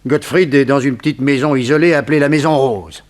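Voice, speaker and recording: A man's voice: medium pitch, a little fast, darkish tone, natural voice. A man playing a wily tough guy posing as a whining captured crook in a 1960s French radio crime serial, cheeky and sometimes stammering. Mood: sly, eager.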